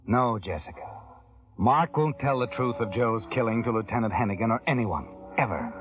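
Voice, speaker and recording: A man's voice: medium pitch, expressive, moderate pace, noisy background. A man narrating a vintage radio thriller in the second person, in an insinuating, probing manner. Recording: tinny old radio broadcast with music.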